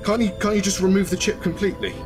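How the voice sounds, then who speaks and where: A man's voice: sits high, level tone, racing, music in the background, lightly acted. A man acting in a radio drama as an ordinary, uneasy husband, earnest and questioning. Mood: shocked, questioning.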